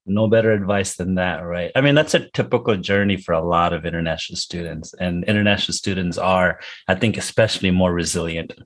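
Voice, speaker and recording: A male interview host with a low voice, speaking over a video call. He responds to his guest in an encouraging way before he moves the conversation forward. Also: brisk, hardly any pauses. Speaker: podcast host. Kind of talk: interview hosting.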